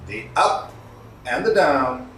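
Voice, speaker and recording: Male communication trainer, mid-pitched, explanatory with stressed key words; roomy, echoing sound. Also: lively intonation, slow, fairly bright tone. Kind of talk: instructional talk on voice modulation. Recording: echoey room, distant mic, faint noise.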